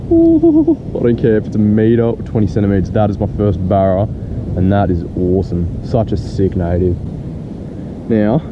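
A man's voice: fairly low pitch, lo-fi sound. A young Australian man chatting casually in laid-back slang as he vlogs a fishing trip outdoors. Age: young adult. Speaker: fishing vlogger. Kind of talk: casual vlog chat while fishing. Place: outdoors on beach and creek bank. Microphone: chest-mounted action camera. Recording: noisy outdoor action camera, wind.

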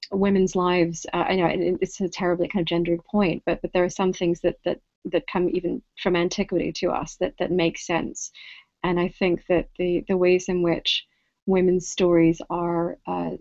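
Low-voiced thoughtful woman poet on a call. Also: a little mellow, no room sound. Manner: thoughtful, hesitant, academic. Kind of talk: reading Q&A over video call. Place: office with bookshelves indoors. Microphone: laptop webcam on video call. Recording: dry, dull video call audio.

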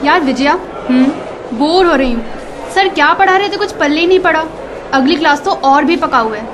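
A woman's voice: high-pitched, lively intonation, a little fast. A woman playing a bored, playful young college student in casual radio drama slang. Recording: noisy classroom ambience behind.

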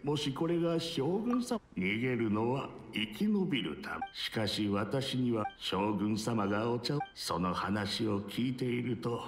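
An actor playing a gentle, deferential elderly tea master in a Japanese video game, polite and hesitant. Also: male voice, mid-range voice, very animated, measured pace, few pauses. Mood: humble. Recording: echoing voice over background music.

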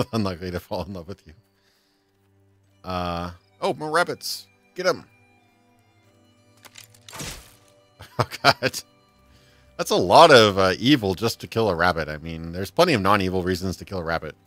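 A man's voice: low-pitched, expressive, moderate pace, long pauses, a bit bright, dry recording. A man in his thirties casually chatting and laughing as he plays a game on a livestream, at a desk mic in a home room. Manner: chatty, laughs often, reads chat aloud. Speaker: livestream gamer. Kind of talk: casual let's-play chat. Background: faint game music.

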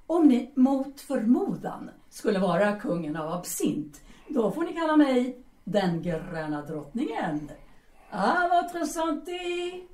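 A woman's voice: sits high, very animated, mellow, recorded from a distance. A woman playing a frank, self-possessed and provocative writer in a period audio drama, with a teasing, direct manner. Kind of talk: Swedish audio drama acting. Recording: echoey room, off the mic.

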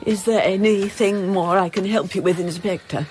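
A woman's voice: mid-range voice, normal speed, darkish tone, faint music behind, natural voice. An actress playing an imperious yet polite lady of the house, formal and assured, in a radio drama. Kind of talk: British radio drama acting.

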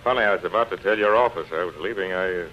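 An actor in a 1930s radio drama playing a proud, broken, drinking man, halting and muttering to himself. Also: low voice, close to an everyday voice. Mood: wounded pride. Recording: dull, hissy 1930s radio recording.

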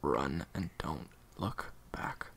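A man's voice: a bass voice, animated, slow, fairly bright tone, in a whisper, heavily acted. A man playing a weary, conflicted assassin, cold but hurting, in an audio roleplay drama. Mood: anguished farewell.

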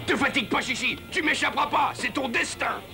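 A man voicing a big, boastful, excitable cartoon pig who fancies himself an inventor, pompous and full of exclamations. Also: very high voice, a little fast, creaky, loud music behind the voice. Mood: scheming.

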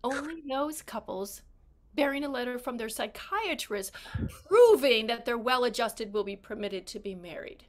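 A woman's voice: on the high side, animated, normal speed, close to an everyday voice. A woman acting a volatile, tearful and stubborn young newlywed wife in a comedy scene, dramatic and repeating her words. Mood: mocking.

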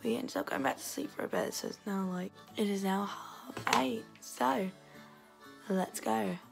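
A young woman with a hoarse, gravelly voice from losing it, chatting casually through a home vlog while filming on her phone at arm's length. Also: mid-range voice, a little slow. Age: young adult. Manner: chatty, narrating as she goes. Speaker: vlogger. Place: home, indoors. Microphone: phone held at arm's length. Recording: music playing over voice.

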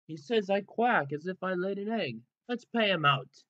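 A man's voice: sits high, expressive, moderate pace, sharp tone, dull audio, voice pushed up. A man voicing a proud, earnest, easily ruffled little tank engine in a children's story. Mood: sleepy grumbling.

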